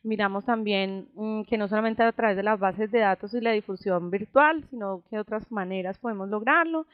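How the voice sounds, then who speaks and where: A woman's voice: medium pitch, moderate pace, smooth, dry recording. Woman hosting an online meeting. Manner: relaxed, reassuring, with fillers. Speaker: meeting organizer. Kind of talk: online group meeting, casual. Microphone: computer mic on a voice call. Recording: dull lo-fi voice call audio.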